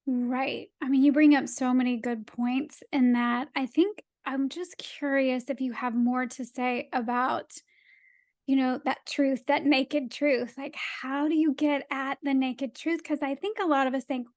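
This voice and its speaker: A woman's voice: high-pitched, steady tone, measured pace, a little mellow. A woman hosting a podcast in casual conversation, with a curious, informal and affirming way of talking. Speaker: podcast host.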